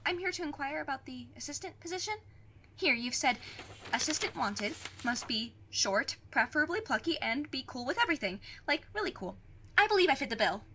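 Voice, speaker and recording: A woman's voice: sits high, very animated, brisk, fairly bright tone, slight background noise, exaggerated voice. A woman playing a plucky, cocky fifteen-year-old girl in an audio drama, self-assured and formally worded. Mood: cocky, insistent.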